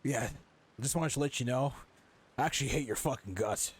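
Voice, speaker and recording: A man's voice: mid-range voice, moderate pace, breathy edge. A man giving casual, blunt and sarcastic playthrough commentary with swearing, game music underneath. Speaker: gamer reacting to a story quest.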